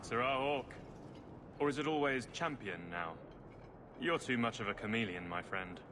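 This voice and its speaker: A man playing a courteous, good-natured guardsman in a video game, speaking in a measured way. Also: medium pitch, very noisy, natural voice. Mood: friendly.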